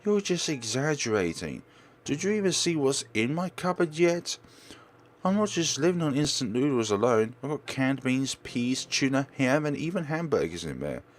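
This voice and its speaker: A man's voice: medium pitch, sing-song, normal speed, pitched above the performer's own voice, natural voice. A man voicing a proud, stubborn young woman in a visual novel, protesting earnestly to her close friend. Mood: defensive. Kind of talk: visual novel dialogue read aloud.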